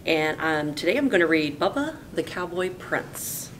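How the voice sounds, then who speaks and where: A woman teacher reading a picture book aloud in a folksy, animated storytelling way. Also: moderate pace. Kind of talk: expressive storybook read-aloud. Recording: noisy with low rumble.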